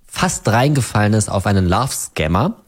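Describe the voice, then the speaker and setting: A man from Berlin co-hosting a talk podcast, unhurried and full of fillers. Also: lowish voice, sing-song. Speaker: podcast co-host. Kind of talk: casual chat.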